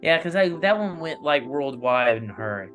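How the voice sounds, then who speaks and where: A man's voice: a little high, big pitch swings, moderate pace, a bit bright. A man speaking as an interview guest in casual, rambling conversation, often checking in with "you know". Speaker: interview guest, analog horror creator. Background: plucked guitar music.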